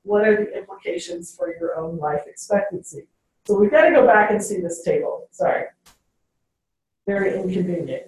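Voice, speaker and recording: A woman's voice: medium pitch, very animated, normal speed, darkish tone. Woman lecturing in an echoey classroom. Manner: ends phrases with "okay?". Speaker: teacher. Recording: very echoey classroom, distant mic.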